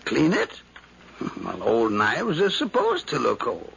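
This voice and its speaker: An actor playing an irritable, fussy elderly uncle in a 1950s radio drama, creaky and exacting, on a dull, noisy old recording. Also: male voice, medium pitch, huge pitch swings, takes it slowly, exaggerated voice. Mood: fussy, testy. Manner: testy, exacting, sardonic.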